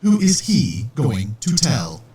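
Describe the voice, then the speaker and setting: An actor playing a formal, deadpan, world-weary robot assassin in a scripted audio comedy. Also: male voice, medium pitch, slow. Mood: coolly matter-of-fact. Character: dry, world-weary male robot assassin posing as repair bot. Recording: roomy echo, distant from mic.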